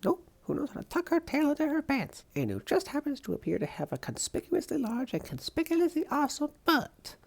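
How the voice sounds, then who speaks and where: A woman's voice: high-pitched, very animated, brisk, gravelly, hardly any pauses, a little mellow, heavily acted. A woman voicing an upbeat young superhero college student in a comic dub, perky, chatty and emphatic. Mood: perky, determined. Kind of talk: comic book dub voice acting.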